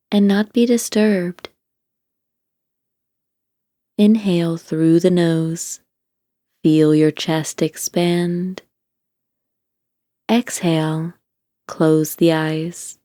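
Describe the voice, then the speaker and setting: Female guided-meditation narrator, lowish relaxed voice, calm deliberate delivery, very dry close recording. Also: no echo. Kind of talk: scripted guided meditation, slow gentle instruction.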